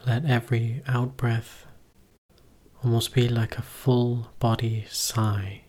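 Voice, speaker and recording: A man leading a guided meditation as a teacher, giving gentle, measured instructions in short phrases with pauses between them. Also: mid-range voice, level tone.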